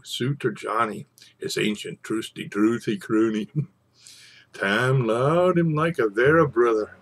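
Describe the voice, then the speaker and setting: A man with a husky voice, speaking as a storyteller and savouring his phrases with theatrical pauses. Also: mid-range voice. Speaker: storyteller and poetry reader. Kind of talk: informal introduction, then dramatic poetry recitation.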